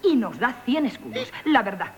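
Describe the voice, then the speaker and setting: A frivolous young schemer in a radio comedy of manners. She is coy and gushing, with a very animated, swooping delivery. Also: a little high, normal speed, dull audio, a little hiss or hum. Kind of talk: Spanish radio theatre acting.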